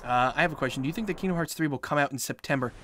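A young man with a light tenor voice chatting casually to his live-stream viewers while playing, answering questions and reacting with excited outbursts. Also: on the high side, racing, slight background noise. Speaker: let's play streamer. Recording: game soundtrack loud underneath. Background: loud game music.

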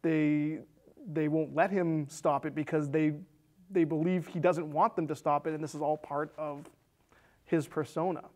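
A man's voice: on the high side, fairly even intonation, normal speed, a little mellow. A man in his thirties casually talking through a movie's story as co-host of a film discussion show. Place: small indoor studio set with curtains.

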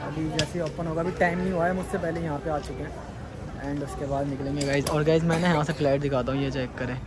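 A young man from India vlogging his trip through an airport onto a plane, chatty and excited, talking to his viewers as guys and mixing in English words. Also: on the high side, fast, crowd noise. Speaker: travel vlogger. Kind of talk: excited handheld travel vlog. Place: airport terminal and plane cabin, indoors.

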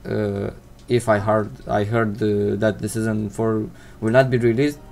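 A teenage boy from Romania, a native Romanian speaker, hosts a casual Q&A vlog in English, pausing often with fillers and non-native phrasing. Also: fairly low pitch, level tone, gravelly, a little mellow. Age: teens. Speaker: channel host answering viewer questions. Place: indoors at home, seated in gaming chair. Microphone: desk microphone.

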